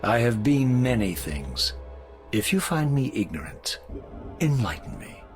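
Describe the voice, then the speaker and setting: An actor playing a proud, brooding undead wraith in a video game, speaking with grave, formal eloquence. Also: male voice, medium pitch, sing-song, unhurried, soft background music. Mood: guarded, defiant. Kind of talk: video game narration and cutscene acting.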